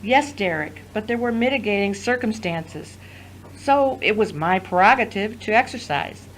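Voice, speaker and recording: Defensive adult sister acted by a woman, mid-pitched, emphatic, argumentative, sharp-tongued; audio drama. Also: animated, moderate pace, slight background noise, close to an everyday voice, rough recording.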